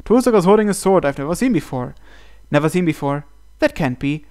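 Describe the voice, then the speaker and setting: A man's voice: a little high, animated, fairly quick. A man hosting a gaming video, reading visual novel narration aloud. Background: soft game music.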